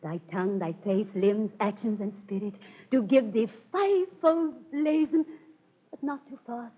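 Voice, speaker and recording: A theatrical lovelorn young noble in a 1930s radio play of Shakespeare. The delivery is grand and declamatory, on a dull, old recording. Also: female voice, fairly high pitch, unhurried, breathy edge. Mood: smitten, agitated.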